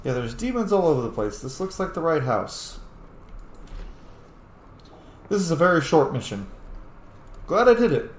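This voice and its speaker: A man's voice: fairly high pitch, big pitch swings, a little fast, a little hiss or hum. A man giving casual, offhand gameplay commentary and joking to himself as he plays. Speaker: gaming video host. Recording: roomy echo, faint game music behind. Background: faint game music.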